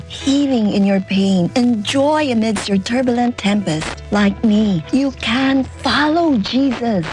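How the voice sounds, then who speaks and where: Filipino woman over clear background music. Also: mid-range voice, darkish tone. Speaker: grief and end-of-life coach. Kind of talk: scripted inspirational testimonial. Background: music bed.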